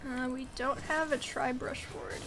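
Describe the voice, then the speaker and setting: A woman's voice: high voice, flat delivery, measured pace, heavy background noise. A young woman in her twenties whose first language was Mandarin, chatting casually on a game stream, giggling and filling her talk with "like" as she reads chat aloud.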